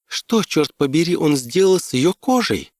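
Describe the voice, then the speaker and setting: A man's voice: fairly high pitch, sing-song, normal speed, no echo, clean studio sound, pitched above the performer's own voice. A man voicing an overheated, irritable and bewildered woman on an expedition in an audiobook, exclaiming and complaining. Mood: agitated, bewildered.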